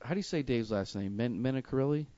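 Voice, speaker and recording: A man hosting a loose comedy stream, joking and swearing freely between fillers. His voice is mid-pitched, and the sound is dry and muffled, like a lo-fi stream. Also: fast talker, mellow, smooth, dry recording. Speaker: host. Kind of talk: casual comedy chat. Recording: dull lo-fi live stream audio.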